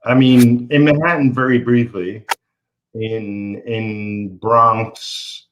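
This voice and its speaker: Slow-talking man with a light Brooklyn accent. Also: on the low side, dull audio. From Brooklyn, New York. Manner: easygoing, rambling with restarts. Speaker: podcast host. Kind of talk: casual interview chat.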